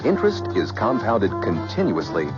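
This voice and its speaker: Low-pitched male radio ad announcer. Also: wildly swooping pitch, moderate pace, loud music behind the voice. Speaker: commercial announcer. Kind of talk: scripted radio advertisement read. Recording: muffled old radio recording.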